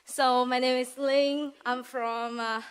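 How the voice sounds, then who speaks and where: A woman's voice: high-pitched, level tone, slow pace, smooth. A young woman from Vietnam with a Vietnamese accent, giving a chatty, hesitant student presentation full of fillers and giggles. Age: young adult. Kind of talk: presentation speech.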